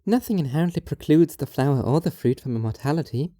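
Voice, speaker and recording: A woman playing a strange, earnest young philosopher in an audio drama, talking in a formal, measured, literal way. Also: deep voice, expressive, warm tone, no room sound, clean studio sound. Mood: philosophical, intent. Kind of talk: audio drama monologue and dialogue.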